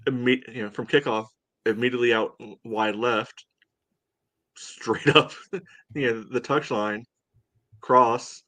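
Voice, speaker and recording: Male co-host, low register, dry close sound, loose stop-and-start soccer talk full of verbal filler. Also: fairly bright tone, no echo. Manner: halting, peppered with "you know". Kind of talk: casual match recap chat.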